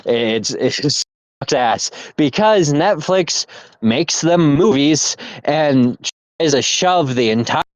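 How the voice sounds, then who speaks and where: A man's voice: fairly high pitch, animated, slow, no echo. A young man in casual chat as podcast co-host, rambling with restarts and laughter. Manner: rambling, laughing, self-interrupting. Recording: dull lo-fi mic.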